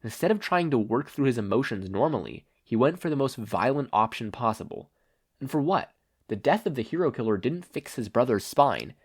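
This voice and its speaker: A man reading stories aloud as an expressive podfic narrator. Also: mid-range voice, a little fast, breathy edge. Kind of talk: expressive fan fiction narration.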